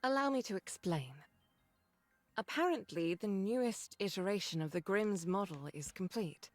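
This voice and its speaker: A woman's voice: mid-range voice, animated, no echo, lightly acted. Composed woman squad leader acted, formal briefing. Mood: composed, explanatory. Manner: measured, authoritative, formal. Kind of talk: game cutscene voice acting, expository briefing.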